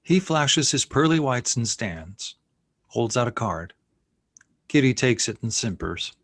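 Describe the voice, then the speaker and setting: A man's voice: medium pitch, big pitch swings, a little slow, fairly bright tone, slightly rough, no room sound, clean studio sound. A man reading scene headings and action lines as narrator of a screenplay table read. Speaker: narrator reading stage directions.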